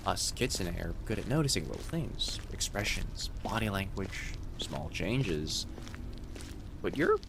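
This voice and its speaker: A man voicing a friendly, gently teasing young fox-spirit college student in an immersive roleplay audio, speaking casually and reassuringly to the listener. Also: deep voice, very animated, a little slow, creaky, background noise, full character voice. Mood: curious, tender. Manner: soft-hearted, reassuring, casual conversational delivery. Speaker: voice actor.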